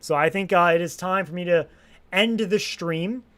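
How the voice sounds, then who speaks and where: A young man chatting casually on a live stream, rambling and restarting his sentences, swearing freely, and a little hoarse after hours of talking. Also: sits high, moderate pace, sharp tone. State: slightly hoarse from long streaming.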